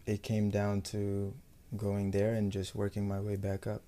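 A young man from the United States, a professional footballer, talking casually and matter-of-factly in a sports interview, in a measured way. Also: on the low side, monotone, warm tone. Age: young adult.

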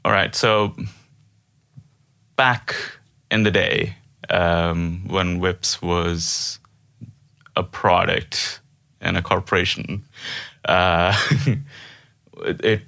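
A man's voice: sits low, fairly even intonation, drawn-out words, vocal fry, pauses often, sharp tone. A man in his thirties from India, a developer guesting on a company podcast, speaking casually with many fillers and restarts. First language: not Norwegian; Indian language. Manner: hesitant, many fillers and restarts, laughs often. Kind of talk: casual interview chat.

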